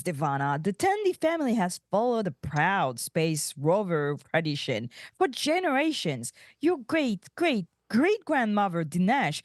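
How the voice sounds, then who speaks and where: A woman's voice: mid-range voice, no room sound, studio quality. A woman reading the part of a seductive, unapologetic female alien space rogue in a comedic table read.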